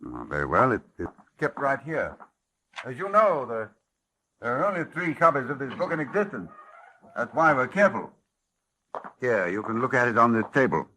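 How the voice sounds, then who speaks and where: An actor playing an educated, grave university doctor in 1940s radio horror, formal in manner, with dramatic pauses. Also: male voice, medium pitch, sing-song, sharp tone, a little hiss or hum. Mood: guarded, formal. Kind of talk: scripted first-person narration, 1940s radio horror. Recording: old radio drama with music behind.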